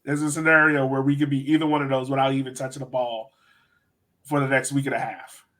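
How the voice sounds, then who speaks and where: A man's voice: mid-range voice, little pitch movement, fast talker, fairly bright tone. A man hosting a soccer podcast, talking in a casual, conversational sports-chat style.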